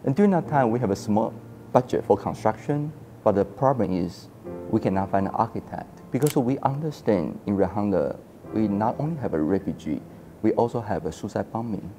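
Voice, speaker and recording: A man's voice: on the low side, expressive, fairly quick, warm tone, faint music behind. A man in his forties from Taiwan, an architecture historian telling his story in a casual interview, acting out quoted dialogue and laughing often. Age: 40s.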